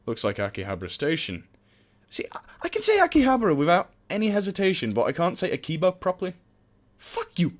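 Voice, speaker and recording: Animated, joking young man reading a game. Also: sits high, fast talker. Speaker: let's play host. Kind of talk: casual let's play narration.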